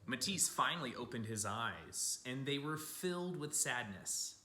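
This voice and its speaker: A man giving an expressive read-aloud of a picture book for children, like a teacher speaking to young listeners. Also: medium pitch, measured pace. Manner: addresses young listeners with simple asides and questions. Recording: roomy, echoing room sound.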